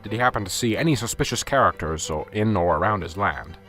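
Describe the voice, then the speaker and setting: A man's voice: fairly low pitch, expressive, quick pace, fairly bright tone. A man playing a stressed, blunt police sergeant who is curt and sarcastic, in an amateur radio drama, with faint music behind. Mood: impatient.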